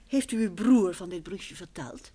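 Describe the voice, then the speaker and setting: An actress playing an investigator's polite wife in a vintage radio play, reasoning and gently questioning. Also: female voice, medium pitch, normal speed, a little hiss or hum, natural voice. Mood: polite, sceptical.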